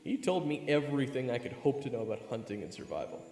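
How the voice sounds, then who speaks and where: Young male adventurer, acted, first-person narrator, creaky mid-range voice, long reverb, candid and wry. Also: mid-range voice, big pitch swings, fast, warm tone. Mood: reflective storytelling. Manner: candid, conversational. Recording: reverberant, music behind.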